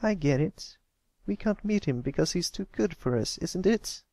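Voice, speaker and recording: A performer voicing a brash filly, pitched up. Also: animated, normal speed, darkish tone, no echo. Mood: accusing. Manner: blunt, challenging. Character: brash, accusing young tomboy filly. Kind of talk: acted fan fiction reading.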